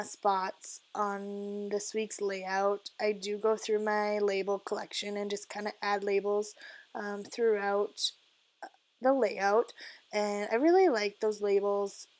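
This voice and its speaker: A young woman from Canada with a husky, croaky voice, casually narrating her scrapbooking in a home basement, full of "uh" fillers and soft laughs. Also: medium pitch, steady tone, measured pace. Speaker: scrapbooking vlogger. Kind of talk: casual voice-over narration of crafting. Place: home basement craft area.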